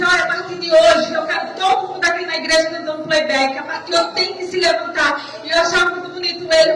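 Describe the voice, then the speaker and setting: Brazilian female church preacher, earnest, repetitive, halting exhortation; recorded far from the mic with clear background noise. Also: extremely high pitch. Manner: earnest, repetitive, stammering delivery. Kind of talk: testimony sermon, exhortation. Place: church service indoors, distant microphone. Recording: echoey room, far mic, noisy.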